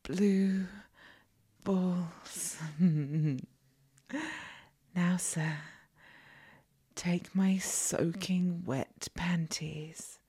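Sultry, doting masseuse for adult audio roleplay, played by a woman: very husky, breathy, often whispered, drawn-out soothing delivery. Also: on the low side, fairly even intonation, mellow, full character voice. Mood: sultry, commanding. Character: flirtatious, fawning adult masseuse doting on a client. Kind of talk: erotic audio roleplay, ASMR-like.

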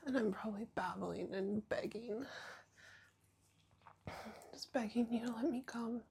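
A woman whispering an intimate roleplay monologue close to the mic, breathless and pleading, with soft moans between words. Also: a little high, unhurried, vocal fry, a little hiss or hum. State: aroused and breathless. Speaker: audio roleplay performer.

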